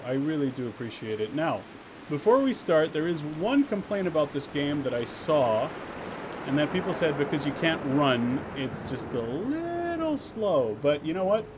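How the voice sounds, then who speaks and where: A man with a fairly high voice streams a game over clear background noise. He chats casually and playfully with his viewers as host, often breaking off mid-thought to start another. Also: very animated, normal speed. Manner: playful, chatty, self-interrupting banter with viewers. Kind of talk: casual live stream chat.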